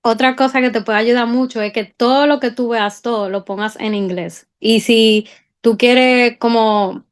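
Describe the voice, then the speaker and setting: A woman's voice: fairly high pitch, fairly even intonation, a little slow. A young woman with a Dominican accent, an incoming medical resident, explaining step by step in a casual panel chat. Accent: Dominican Spanish. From Dominican Republic. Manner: explanatory, step-by-step, many fillers.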